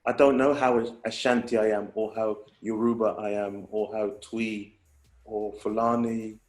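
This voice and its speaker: A man's voice: on the low side, flat delivery, a little slow, darkish tone, echoey room. A man, a guest in casual conversation, reflective and rambling with restarts. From England. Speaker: guest, hip hop artist.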